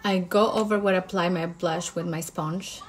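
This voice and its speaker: A woman's voice: fairly low pitch, fairly even intonation, normal speed, a little hiss or hum. A woman with a Moroccan accent, a makeup artist casually explaining each step of her makeup routine.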